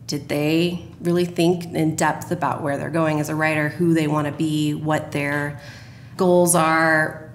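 A woman speaking as a guest in a conversational podcast interview about her work. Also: low voice. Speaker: podcast guest, radio drama producer. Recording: roomy echo, faint noise.

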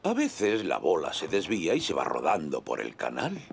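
A man voicing a gentle, encouraging old grandfather in a children's cartoon, dubbed in Castilian Spanish. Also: a bass voice, wildly swooping pitch, moderate pace, creaky, slight background noise, full character voice. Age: older. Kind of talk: animated children's cartoon dubbing.

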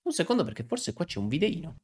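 A man's voice: on the high side, big pitch swings, fast, darkish tone. A man, playfully commenting on a game and reading dialogue aloud. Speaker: game streamer. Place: indoor streaming room. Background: game music.

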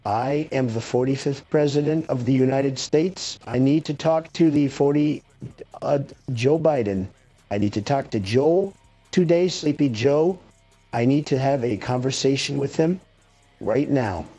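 A man's voice: mid-range voice, a little slow, mellow, highs cut off, soft background music. A man voicing a bragging, petulant caricature of an American ex-president through an AI voice changer, bickering and swearing on a livestream. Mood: demanding, aggrieved. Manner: boastful, petulant, foul-mouthed bickering.

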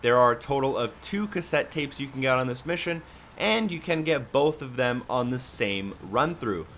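A man's voice: mid-range voice, animated, normal speed, fairly bright tone, slight background noise. A man giving a casual, step-by-step walkthrough commentary as a video game guide narrator. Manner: instructional, step-by-step. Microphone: voice-over on a microphone.

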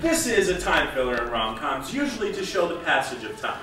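A man's voice: fairly high pitch, big pitch swings, brisk, over music. A young man acting as an earnest lecturer in a scripted stage comedy, projecting his lines in declarative fashion. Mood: earnest explaining. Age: young adult. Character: lab-coated male lecturer explaining rom-com rules, earnest. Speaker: stage actor playing a lecturer. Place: large theatre stage, indoors. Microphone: distant camera microphone. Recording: echoey theatre from far off, noisy.

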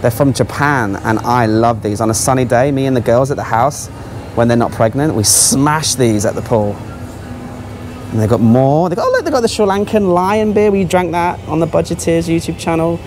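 A man's voice: mid-range voice, normal speed, a little mellow, a little hiss or hum. A man giving casual, offhand, exclaiming commentary as a travel vlogger walking around a supermarket. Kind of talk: casual running vlog commentary. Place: large supermarket aisles.

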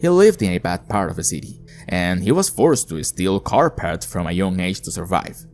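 A man's voice: deep voice, lively intonation, moderate pace, darkish tone, a little hiss or hum. A man reading scripted narration, recapping and explaining a story as a video narrator.

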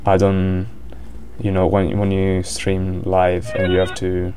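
A man's voice: sits low, completely flat delivery, takes it slowly. A man with an Italian accent commentating a board game live, hesitant, with many fillers and restarts.

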